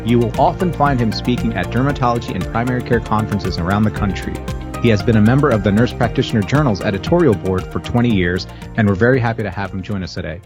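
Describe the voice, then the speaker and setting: A man hosting a podcast, reading an ad script and introducing his guest in a smooth, announcer-like way. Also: on the low side, fast talker. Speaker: podcast host. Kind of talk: scripted ad read and interview questions. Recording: music bed under the voice.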